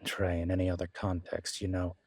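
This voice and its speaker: A man playing a loyal young male werewolf alpha in an audio roleplay, wry and protective, with a low-key, halting delivery. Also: monotone, darkish tone, dry recording, clean studio sound. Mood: wry, teasing.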